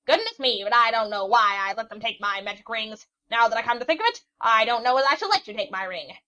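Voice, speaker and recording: A woman's voice: animated, fast, pushed and bright. A woman voicing a cranky ancient sea witch who shrieks, scolds and rambles in a storybook reading. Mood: shrill scolding. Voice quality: shrill, screeching. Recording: dull lo-fi recording.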